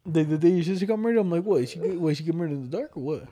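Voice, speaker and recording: A man's voice: a little high, lively intonation, very fast, warm tone, breathy edge. A young man with a smoky voice, co-hosting a podcast in casual chat, rambling with lots of fillers and slang. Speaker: podcast co-host.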